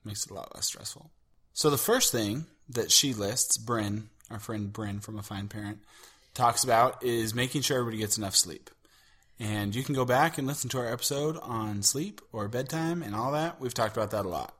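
Husky man with dry quips. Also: medium pitch, normal speed, fairly bright tone. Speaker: podcast co-host. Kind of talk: casual chat.

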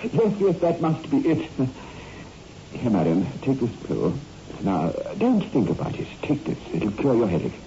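Desperate doctor in an old radio horror play, melodramatic, mellow tone, swoops around, some hiss. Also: male voice, medium pitch, wildly swooping pitch, gravelly. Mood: forced reassurance. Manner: halting, anguished, melodramatic. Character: tormented married doctor driven toward murder. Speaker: radio drama actor. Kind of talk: 1960s radio horror acting. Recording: muffled, noisy old radio recording.

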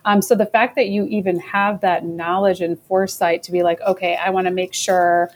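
A woman hosting a casual talk show, chatty and animated, asking her guest questions. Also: on the low side, normal speed. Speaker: podcast host. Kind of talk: casual interview chat.

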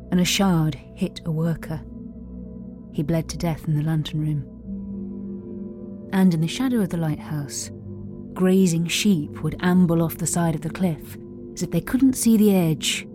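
A woman's voice: fairly low pitch, unhurried, long pauses, over music, lightly acted. A woman acting a wry lighthouse hotel owner, telling stories conversationally in an audio drama. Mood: wry, eerie. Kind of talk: audio drama storytelling and dialogue.

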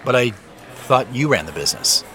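A man's voice: mid-range voice, very animated, normal speed, pushed and bright, some chatter behind. A man acting in a naturalistic audio drama as a cautious, uneasy professional with a hesitant, questioning manner. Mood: probing, casual.

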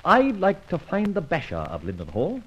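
Debonair, flippant, husky gentleman adventurer. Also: high voice, wildly swooping pitch, normal speed, full character voice. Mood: bantering, confident. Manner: urbane, mannered, playfully wordy phrasing. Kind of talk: old radio drama acting. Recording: muffled old radio transcription recording.

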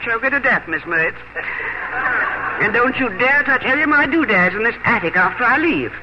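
A woman's voice: on the high side, lively intonation, normal speed, very noisy, lightly acted. An actress playing a sentimental, chatty middle-aged mother who is cleaning out her attic, in a 1950 radio drama. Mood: wry. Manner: chatty and sentimental, talking to herself. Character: fond, fussy small-town mother cleaning the attic. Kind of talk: 1950s radio drama acting. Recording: dull lo-fi 1950 radio broadcast.

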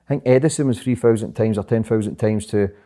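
A young man talking fast and rambling as an interview guest, swearing often and repeating "do you know what I mean". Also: fairly low pitch, mellow. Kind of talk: casual interview chat.

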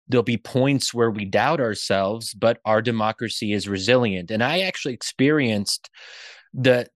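A man's voice: medium pitch, no echo. Man, American accent, interview guest, earnest explanatory delivery, reflective discussion, dry close sound. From Pennsylvania, United States.

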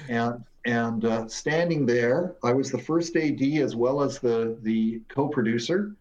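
A man's voice: medium pitch, unhurried, darkish tone. An older man, a guest film producer, telling rambling, emphatic anecdotes with many restarts. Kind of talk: anecdotal storytelling. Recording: dull lo-fi sound.

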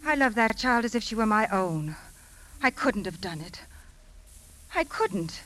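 An actress playing a composed, guarded woman under police suspicion, in measured and strained 1950s radio drama style. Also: mid-range voice, lively intonation, fairly bright tone. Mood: distressed, pleading. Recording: noisy 1950s radio broadcast recording.

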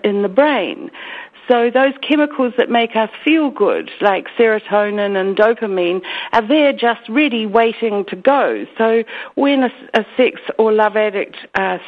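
A woman with a New Zealand accent speaks earnestly as a radio guest, often stopping to restart her sentences. Her voice comes through a narrow, tinny phone line. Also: medium pitch. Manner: earnest, halting with many restarts and fillers. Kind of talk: radio interview.

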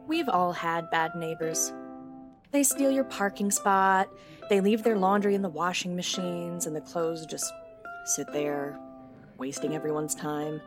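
A woman's voice: lowish voice, a little slow, over music, close to an everyday voice. A woman playing a petty, indignant neighbor in a wry, theatrical yet conversational comic monologue. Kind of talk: comic monologue in audio drama.

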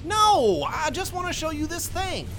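A man's voice: very high voice, big pitch swings, a little slow, background noise, exaggerated voice. An actor playing a grumpy, blunt retired waste worker who threatens people with his shovel. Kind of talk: audio drama acting.

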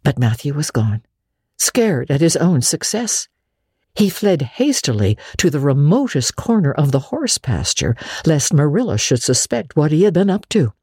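A woman's voice: sits low, very animated, moderate pace, warm tone, husky, no room sound, clean studio sound. A woman narrating classic fiction as a dramatic audiobook reading.

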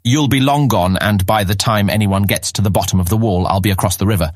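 A man's voice: on the low side, fast talker, no echo, clean studio sound, close to an everyday voice. An actor playing a mischievous boy, a sly ringleader who coaxes and goads in a fantasy audiobook drama. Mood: eager, coaxing. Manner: persuasive, egging on. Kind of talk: full-cast fantasy audiobook acting.

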